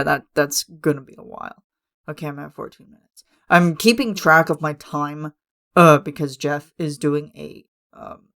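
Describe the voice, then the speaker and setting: A man hosting a podcast from his room at home, hoarse and raspy as he loses his voice, congested and coughing, rambling with lots of fillers and restarts. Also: fairly high pitch, a bit bright. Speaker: podcast host. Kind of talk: casual solo podcast chat.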